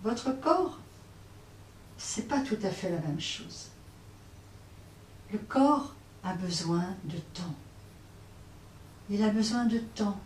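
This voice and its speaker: A woman teaching yoga nidra, guiding relaxation in a hesitant way, often pausing and repeating phrases. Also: mid-range voice, lively intonation, a little mellow. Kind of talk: guided relaxation instruction. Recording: roomy, distant mic, faint noise.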